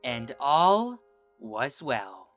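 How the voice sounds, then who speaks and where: Man narrating a storybook reading, lively pitch, bright voice, soft music under. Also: very slow. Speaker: fanfic reader and channel host.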